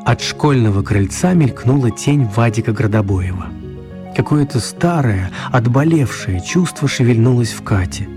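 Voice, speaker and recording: A wry storyteller a male actor narrates a children's radio play in a mid-pitched voice. He is expressive and mock-serious, pausing deliberately for effect, with faint music underneath. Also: close to an everyday voice.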